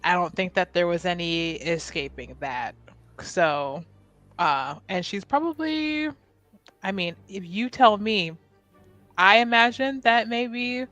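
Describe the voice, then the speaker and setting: A woman's voice: medium pitch, a bit bright. A woman playing a tabletop roleplaying game, casually improvising narration about her character.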